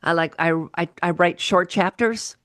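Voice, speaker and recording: A woman hosting a casual interview, asking questions in an enthusiastic way with halting restarts. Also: on the low side, a bit bright, no echo, clean studio sound. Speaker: podcast host, interviewer.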